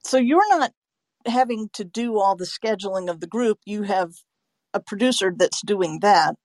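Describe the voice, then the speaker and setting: Woman host doing a casual interview, medium pitch, really dry sound, no room echo. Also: normal speed, darkish tone. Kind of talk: conversational interview questions.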